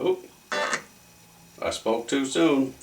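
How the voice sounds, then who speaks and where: A man's voice: medium pitch, extremely slow, darkish tone, faint music behind. A man narrating a repair casually and off the cuff, thinking aloud in a folksy, rambling way. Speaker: electronics repair hobbyist demonstrating a fix. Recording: distant mic with faint background noise.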